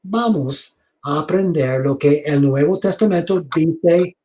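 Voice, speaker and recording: A man giving an online theology lecture over a video call, speaking slowly, repeating words and inviting questions. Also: on the high side, noisy background. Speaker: seminary lecturer.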